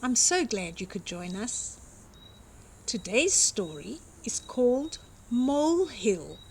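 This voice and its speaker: A woman's voice: on the high side, very animated, takes it slowly, a little hiss or hum. An older woman reading a picture book aloud expressively to young children, with simple explanatory asides. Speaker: children's storytime reader and host.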